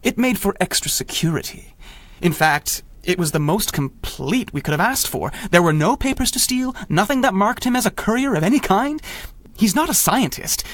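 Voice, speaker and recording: An actor playing an earnest, self-assured government spymaster and inventor in a radio drama, articulate and insistent. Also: male voice, high-pitched, lively intonation, moderate pace, fairly bright tone, dry recording. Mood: earnest, measured. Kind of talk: 1930s-style radio drama acting.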